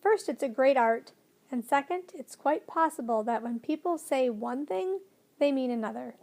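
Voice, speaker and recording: Storybook old professor, played by a woman: kindly, fussy, grandfatherly, exclamatory, high for the part. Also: expressive, normal speed, creaky. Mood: weary, earnest. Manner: fervent, exclamatory, gently lecturing.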